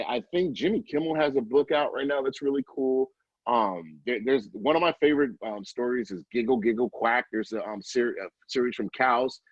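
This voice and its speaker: A man's voice: mid-range voice, no echo. Middle aged guy chatting casually as a guest educator, stop and start, kinda dull lofi audio. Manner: halting, self-interrupting, informal and animated asides. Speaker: early childhood educator, guest. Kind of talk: casual interview answers, recommending.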